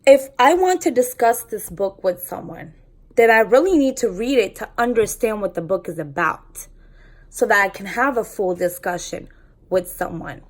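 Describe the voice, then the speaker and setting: A woman's voice: mid-range voice, animated, normal speed. A woman teacher reading a story aloud to students and explaining it in an instructive way. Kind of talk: read-aloud storytelling with lesson commentary.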